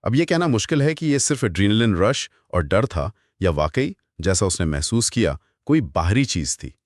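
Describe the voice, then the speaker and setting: A man's voice: fairly low pitch, sing-song, moderate pace, no room sound, clean studio sound. A man co-hosting a talk, giving analytical comments in a conversational way. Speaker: co-host.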